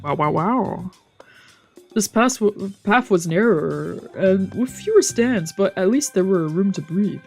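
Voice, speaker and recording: A woman's voice: medium pitch, expressive, unhurried, gravelly, soft background music. A woman, a streamer narrating a visual novel in a let's play. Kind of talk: let's play, narrating and voicing translated lines.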